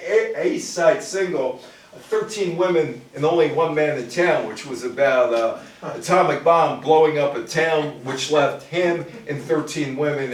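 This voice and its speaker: Distant mic, heavy room echo, male lecturer, somewhat higher voice, informal delivery, questions tossed to listeners. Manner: asks the listeners rhetorical questions. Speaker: lecturer, public speaker. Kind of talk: informal history lecture to a live audience. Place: indoor room with a live audience.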